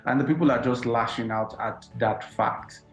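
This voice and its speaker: A man speaking as a financial analyst, explaining economic effects in a measured way. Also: mid-range voice, reverberant, faint music behind, poor audio. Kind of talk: studio interview answers.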